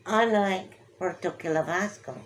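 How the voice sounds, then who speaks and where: A woman's voice: lowish voice, moderate pace, slight background noise. A woman co-hosting a casual chat, teasing and drawing out her words for emphasis. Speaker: co-host.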